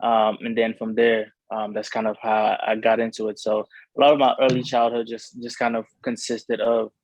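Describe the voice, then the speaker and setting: Flat-toned young man from Cameroon. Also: on the low side, moderate pace, dry recording, rough recording. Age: young adult. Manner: rambling, many fillers and restarts. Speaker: rapper being interviewed. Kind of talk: casual interview talk.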